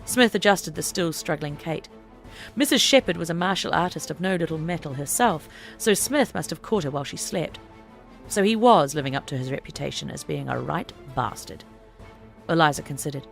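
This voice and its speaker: A woman's voice: deep voice, normal speed, gravelly, faint music behind. A woman narrating an audiobook story in a dramatic, scripted reading style.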